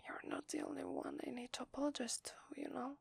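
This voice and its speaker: Girl doing a loving werewolf wife, italian accent, highish whispery asmr voice w/ creaky edges, tender n intimate. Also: flat delivery, fast, dry recording. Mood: guilty, hesitant. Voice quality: breathy, whispery. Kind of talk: ASMR roleplay, soft whispered intimate acting.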